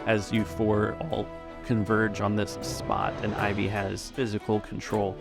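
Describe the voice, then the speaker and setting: A man with a lowish voice and plenty of fry runs a tabletop game as game master. He narrates scenes and explains rules over faint music. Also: completely flat delivery, measured pace.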